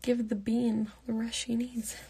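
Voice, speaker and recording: Soft-spoken young woman, half whispering. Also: fairly high pitch, flat delivery, a little slow, breathy edge. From Pittsburgh, United States. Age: late teens. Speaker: livestream host answering fan comments. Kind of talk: casual live Q&A chat. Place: bedroom at night, indoors. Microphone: phone held at arm's length.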